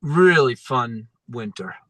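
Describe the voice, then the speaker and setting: Brief: male podcast guest, mid pitch, easygoing and grateful, dry close recording. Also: animated, slow, a bit bright, dry recording. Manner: easygoing and appreciative. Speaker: guest filmmaker and musician. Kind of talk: casual interview chat.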